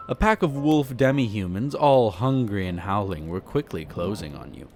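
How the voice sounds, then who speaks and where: A man's voice: lowish voice, animated, normal speed, a little mellow, faint music behind. A man playing a suave, flirtatious aristocratic vampire who talks to the listener with amused, haughty condescension in a roleplay audio drama. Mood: amused storytelling. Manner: theatrical, leisurely storytelling with teasing, haughty, formal wording.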